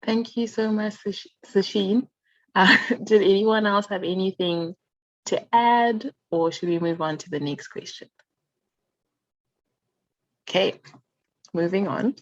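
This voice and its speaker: A woman's voice: mid-range voice, slow, long pauses, no room sound. Polite woman moderator, dull dry call audio. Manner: polite, thanking and asking follow-ups. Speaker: panel moderator. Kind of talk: moderating a panel.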